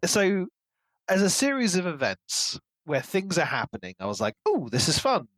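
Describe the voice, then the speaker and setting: A man's voice: high voice, huge pitch swings, moderate pace, a bit bright, no room sound. A man co-hosting a podcast, casually discussing a book and telling it like a story, often acting out imagined dialogue. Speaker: podcast co-host.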